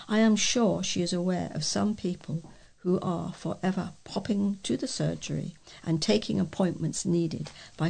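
A British woman reading readers' letters aloud as a volunteer local newsreader, with a measured delivery and the occasional stumble. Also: on the low side, creaky, darkish tone, breathy edge.

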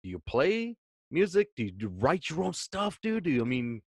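Easygoing man asking halting questions. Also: a little high, sing-song, dry recording, studio quality. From Mexico. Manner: easygoing, halting, many fillers. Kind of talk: casual interview.

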